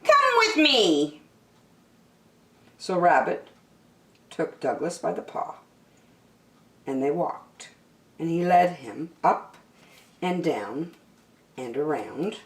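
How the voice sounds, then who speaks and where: Slow, expressive woman storyteller in echoey room. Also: low voice. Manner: expressive, emphatic, drawn-out key words. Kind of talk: animated children's storybook reading.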